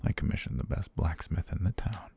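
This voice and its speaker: Man acting a very deep, husky possessive butler. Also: lively intonation, a little fast, dry recording, clean studio sound, full character voice. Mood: calm menace. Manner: courtly, softly coaxing, half-whispered, formal address. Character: possessive, lovestruck yandere male butler, patient and menacing. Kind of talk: intimate ASMR roleplay.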